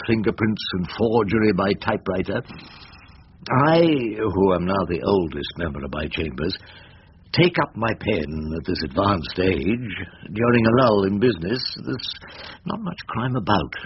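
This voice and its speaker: A man's voice: on the low side, natural voice. An older man with an English accent acting a wry, garrulous old barrister in a radio drama, rambling theatrically with dry irony. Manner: rambling, theatrical, drily ironic. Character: elderly, wry, garrulous English barrister, poetry-quoting and irreverent. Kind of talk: radio drama acting with first-person narration. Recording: dull, noisy radio drama recording.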